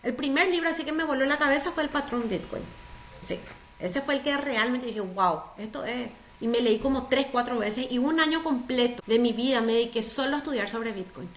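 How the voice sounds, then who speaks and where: A woman's voice: on the high side, fairly quick, a bit bright, reverberant, slight background noise. A middle-aged woman, speaking as a guest in a conversational interview, earnest and emphatic, repeating words for stress. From Paraguay. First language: Spanish.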